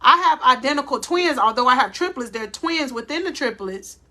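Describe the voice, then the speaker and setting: A woman life coach giving a faith-based motivational talk, with a loud voice, speaking deliberately slowly and calling her listeners "y'all". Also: high voice, expressive. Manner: loud voice by her own account.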